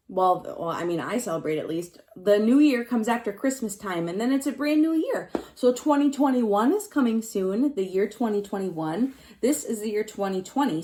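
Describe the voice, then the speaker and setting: Animated female teacher reading aloud to children. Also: medium pitch. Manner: simple explanatory phrasing, addresses children directly, emphasizes key words. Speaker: elementary school teacher reading aloud to pupils. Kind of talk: read-aloud lesson with explanations and questions to children.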